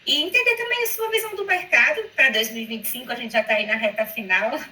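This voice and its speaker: A woman's voice: high voice, fast talker, sharp tone, a little hiss or hum. A woman with an Alagoas accent from northeastern Brazil, a headhunter interviewing on a video call in a friendly, chatty way. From Maceió, Alagoas, Brazil. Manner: friendly, chatty, laughs often.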